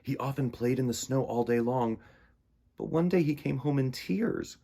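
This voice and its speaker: A man hosting a children's storytime, reading picture books and chatting in simple, expressive, conversational language. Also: medium pitch, normal speed, creaky. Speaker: host of a children's storytime show.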